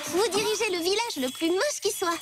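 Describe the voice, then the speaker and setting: Earnest high-pitched little cartoon girl. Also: brisk. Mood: emphatic. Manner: earnest, emphatic. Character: sweet, earnest, easily hurt girl of ten. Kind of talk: French cartoon dub acting.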